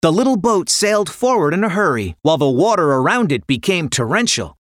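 A woman narrating a scripted children's story in an expressive, dramatic way. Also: lowish voice, fairly quick, dry recording, clean studio sound.

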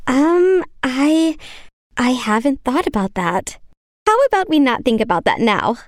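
Woman as sweet, high-voiced young fairy-tale maiden. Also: very animated, measured pace, dry recording, studio quality. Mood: flustered. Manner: sweet, earnest. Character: kind, earnest, gentle young merchant's daughter. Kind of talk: children's fairy tale audio drama.

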